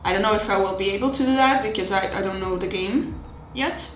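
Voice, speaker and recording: A woman in her thirties casually narrating her hobby build, chatty and halting, with many restarts and fillers, recorded at home on a desk camera mic. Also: mid-range voice, echoey room, slight background noise, poor audio. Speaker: miniature terrain hobbyist.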